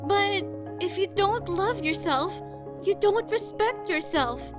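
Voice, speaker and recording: A woman playing a gentle, reassuring cleric in a fantasy audio drama, giving earnest counsel. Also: very high voice, lively intonation, slow, exaggerated voice. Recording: clear music under the voice.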